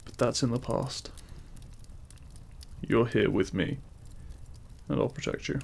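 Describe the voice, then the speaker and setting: Man playing a gravelly, devoted demon king lover. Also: mid-range voice, takes it slowly, heavily acted. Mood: protective, tender. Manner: tender, formal, courtly phrasing. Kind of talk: intimate romantic audio roleplay, ASMR.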